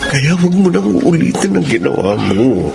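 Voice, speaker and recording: An actor playing a protective, devoted middle-aged Filipino father in a radio drama, solemn and gentle. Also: a little high, sing-song, normal speed, vocal fry. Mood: solemn, pleading. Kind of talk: Tagalog radio drama acting. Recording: loud dramatic music underneath.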